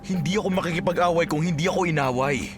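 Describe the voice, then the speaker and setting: A man's voice: fairly high pitch, fast, music in the background, lightly acted. A man playing a proud, irritable older father with a Filipino accent, grumbling defiantly in a radio drama. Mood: irritated, defiant.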